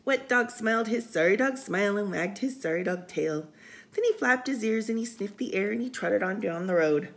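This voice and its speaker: A woman's voice: mid-range voice, animated, normal speed. Woman reading a children's picture book aloud. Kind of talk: read-aloud children's storytime with casual asides. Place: indoors, room by a window.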